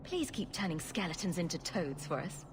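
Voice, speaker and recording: A woman's voice: fairly low pitch, big pitch swings, normal speed. A woman voicing a dry, self-assured human adventurer with a sarcastic streak in a video game. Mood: wry. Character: wry adult human female adventurer hero. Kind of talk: video game dialogue acting. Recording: game capture with clear background noise.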